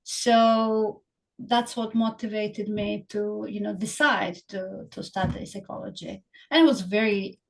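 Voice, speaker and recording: Creaky-voiced middle-aged woman. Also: medium pitch, fairly even intonation, measured pace, poor audio. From Bosnia, raised in Serbia. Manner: thoughtful, frequent hesitations and restarts. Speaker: psychologist guest. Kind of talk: interview conversation.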